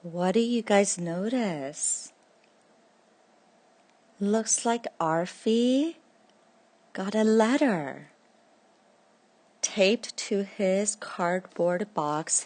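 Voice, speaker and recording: A woman tells a children's picture-book story aloud. Her voice sits a little low and her delivery is extremely slow and spaced out for young listeners. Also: darkish tone.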